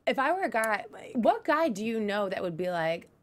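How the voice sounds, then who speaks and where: Lively-voiced woman co-host. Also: mid-range voice, normal speed. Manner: chatty, animated, laughs often. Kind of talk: casual chat.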